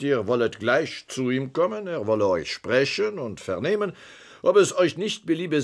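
A vain French gentleman doctor in a classic radio drama. His delivery swoops dramatically up and down, yet he takes his time over every courtly, pompous phrase. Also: mid-range voice. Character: vain, wealthy French physician and nobleman.

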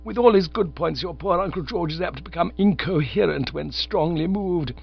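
A man's voice: a little high, creaky, breathy edge, voice pushed up. A man voicing a stern, imperious aunt. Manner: pompous, lofty, halting over delicate words. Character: imperious stern middle-aged aunt, female. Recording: slightly dull lo-fi recording.

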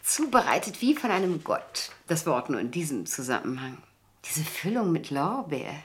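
An actress playing a haughty, gossipy, food-loving spinster in a German radio play, theatrical and full of pronouncements. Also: female voice, low voice, sing-song, moderate pace, a little hiss or hum. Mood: indulgent, rapturous. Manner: theatrical, exclaiming, pronouncing judgments.

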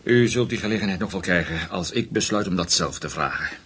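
Husky, deliberate German investigator. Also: male voice, deep voice, expressive, a bit bright, full character voice. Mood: composed, decisive. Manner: deliberate, formal. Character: measured, discreet senior German male investigator, loyal and firm. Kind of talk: Dutch radio play dialogue.

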